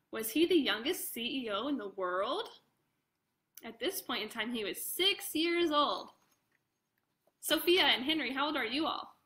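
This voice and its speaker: Woman, high-pitched, bright forceful tone, children's author read-aloud, expressive, informal asides. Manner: expressive, addresses young listeners directly; casual, informal commentary between passages. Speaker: children's author reading own book aloud. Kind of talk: animated picture-book read-aloud with chatty asides.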